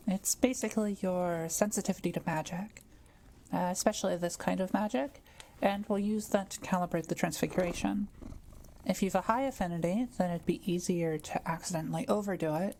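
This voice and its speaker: Kind witch played by a woman for audio roleplay: lowish, soft-edged tone, patient and reassuring. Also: vocal fry, a little hiss or hum. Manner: gentle, careful, explanatory. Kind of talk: intimate one-to-one audio roleplay, conversational.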